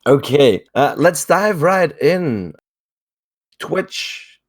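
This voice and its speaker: A man hosting a podcast who interviews a guest in a slow, friendly manner, lavish with praise. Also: a little high, lively intonation, no room sound, clean studio sound. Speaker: podcast host.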